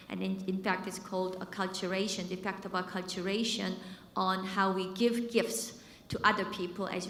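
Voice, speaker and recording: A woman's voice: mid-range voice, a bit bright, slight background noise. Korean-accented woman in an echoey hall. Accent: Korean, mixed American and British. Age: 50s. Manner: conversational, with fillers and repeated words. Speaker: business school dean and professor. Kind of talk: conference talk. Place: conference hall. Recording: echoey hall with long reverb.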